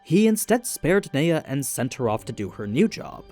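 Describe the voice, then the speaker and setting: A man's voice: a little high, very animated, moderate pace, darkish tone, soft background music. A man reading scripted explainer narration as the narrator of an anime lore video.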